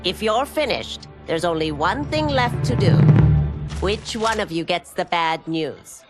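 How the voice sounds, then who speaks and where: Game role: brisk restaurant proprietress, lowish voice, lively inflection, teasing edge. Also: female voice, loud music behind the voice. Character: Madam Bo, brisk, teasing female restaurant host. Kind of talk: video game cutscene acting.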